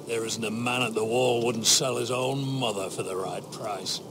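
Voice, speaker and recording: An actor playing a stern, scornful middle-aged watch officer in a dramatic video game, speaking with deliberate menace and a gravelly voice. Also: male voice, mid-range voice, steady tone, background noise, close to an everyday voice. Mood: cold accusation.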